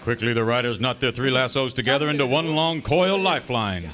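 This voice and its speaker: A man's voice: mid-range voice, fairly quick, a bit bright, close to an everyday voice. A man as a grandiose radio serial announcer. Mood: dramatic. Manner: grandiose, florid, melodramatic. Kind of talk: mock 1940s radio serial narration. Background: music.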